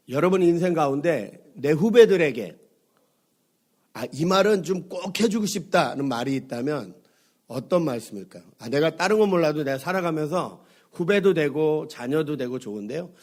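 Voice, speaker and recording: A middle-aged man, hoarse from a cold, preaching a Sunday sermon as a pastor, conversational and full of fillers, asking his congregation questions. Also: on the high side, expressive, moderate pace, darkish tone. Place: church sanctuary.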